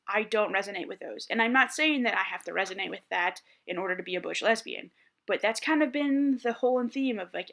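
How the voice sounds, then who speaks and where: A man in his thirties with a higher-pitched voice speaks candidly to the camera. He thinks out loud in a reflective, personal way, with plenty of restarts and "like"s along the way. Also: creaky. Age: 30s. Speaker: vlogger.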